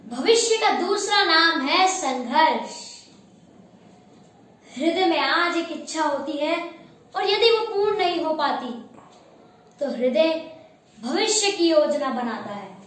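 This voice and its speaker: A woman performing a monologue as a calm, wise divine teacher, speaking slowly and reflectively. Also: high-pitched, heavily acted. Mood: serene. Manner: slow, reflective, preaching. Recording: very echoey room.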